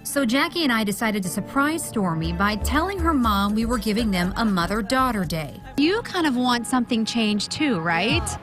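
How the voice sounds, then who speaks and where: Higher-voiced woman TV reporter over music. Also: big pitch swings, normal speed, few pauses. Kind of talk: upbeat lifestyle TV reporting. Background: background music.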